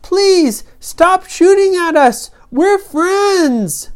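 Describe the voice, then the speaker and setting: A woman plays a young boy hero in a children's tale. His voice is raised high and loud, earnest and excitable, pleading and exclaiming. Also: drawn-out words, exaggerated voice. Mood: pleading, puzzled. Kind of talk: children's story character voice.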